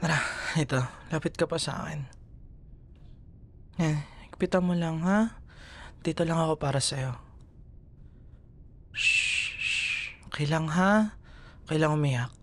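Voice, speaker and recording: A man with a Filipino accent playing a gentle, protective young boyfriend in an intimate ASMR roleplay, soothing and murmuring tenderly. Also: a little high, a little slow, long pauses, voice pushed up.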